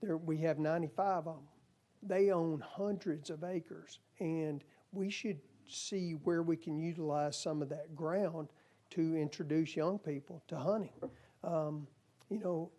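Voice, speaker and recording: A man's voice: fairly high pitch, fairly even intonation, measured pace, dark tone. A man testifying at a legislative hearing, earnest and folksy, and he is losing his voice. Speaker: commission nominee.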